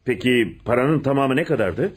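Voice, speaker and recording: An actor playing a shrewd, domineering police inspector in a radio play, questioning people insistently. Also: male voice, mid-range voice, expressive, normal speed, husky. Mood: brisk, alert. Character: shrewd, domineering male police inspector interrogating and giving orders. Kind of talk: radio theatre acting.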